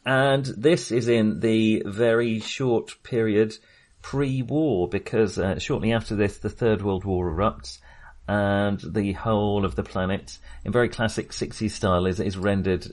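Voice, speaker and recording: Hesitant, lower-voiced male podcast host. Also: steady tone, measured pace, a little mellow. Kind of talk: casual film discussion.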